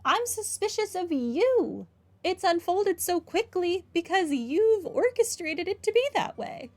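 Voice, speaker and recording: A woman's voice: extremely high pitch, very animated, unhurried. A woman doing casual, opinionated game commentary, talking back to the characters. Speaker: gaming playthrough host.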